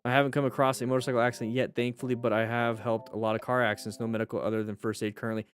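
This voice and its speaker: Male livestream host, mid-range voice, blunt and joking, animated casual chat with viewers, music underneath. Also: mid-range voice, racing. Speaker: firefighter.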